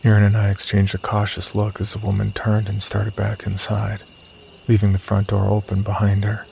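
A man playing the uneasy, cautious narrator of a scripted horror story, telling it in a gravelly voice. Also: on the low side, monotone, normal speed, a little mellow, a little hiss or hum. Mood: tense, measured. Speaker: first-person narrator and lead character.

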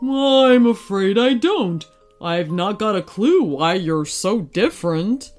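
A woman's voice: mid-range voice, expressive, slow, soft background music. A woman voicing a thoughtful, friendly little male dog in a storybook, giving kind advice. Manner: warm, thoughtful, advising.

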